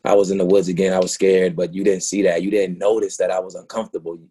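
An actor playing a vulnerable young city man confessing to his lover in a play reading, halting and earnest. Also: sits low, level tone, mellow, husky. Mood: hurt, pleading. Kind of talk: dramatic monologue in a staged play reading.

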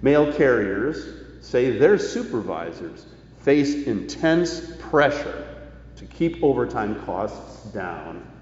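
A man preaching a sermon in a deliberate, emphatic way, with rhetorical phrasing. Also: medium pitch, very animated, darkish tone. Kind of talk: sermon, partly reading quoted news aloud. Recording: echoey church hall, slightly dull sound.

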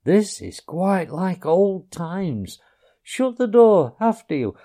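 A man's voice: sits high, slow, voice pushed up, exaggerated voice. A man voicing an eager, easily flustered male mole. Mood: eager, fretful. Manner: excited, anxious.

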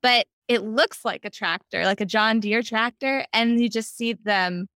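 A woman with an American accent speaking as a podcast guest in an animated, conversational interview style. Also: on the high side, unhurried, clear, resonant tone, no echo. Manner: animated, quotes self and others in anecdotes. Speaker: podcast guest, children's book editor.

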